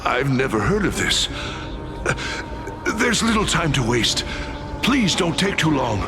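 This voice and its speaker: An actor playing a dazed, desperate ghost of a soldier in a video game, speaking haltingly and formally over music. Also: male voice, a little high, big pitch swings. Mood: doubtful, uneasy.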